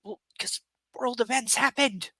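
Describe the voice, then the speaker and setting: A woman's voice: a little high, measured pace, a bit bright, no room sound. A woman reading a novel aloud live from home on camera, breaking off for chatty, sarcastic commentary. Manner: sarcastic, chatty asides between read passages. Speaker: book reader and streamer.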